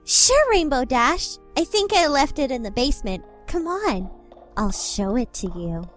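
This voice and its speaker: A woman's voice: sits high, sing-song, measured pace. A woman voicing a tender, earnest young female pony with a secret, in a fantasy audio drama, over music. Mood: friendly. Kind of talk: audio drama character acting.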